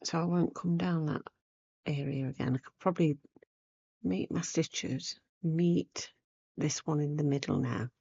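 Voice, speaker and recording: Croaky woman talking slowly while she works. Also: low voice, mellow, lo-fi sound. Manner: unscripted, rambling, thinking aloud while working. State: croaky, hoarse after a cold. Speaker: craft tutorial host. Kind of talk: casual chatty needlework demonstration.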